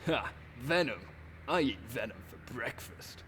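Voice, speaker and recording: A man's voice: mid-range voice, lively intonation, a little slow, fairly bright tone, a little hiss or hum. A man playing an earnest, exasperated professor explorer in a comic puppet musical, with a stammering, flustered delivery. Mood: earnest, dry.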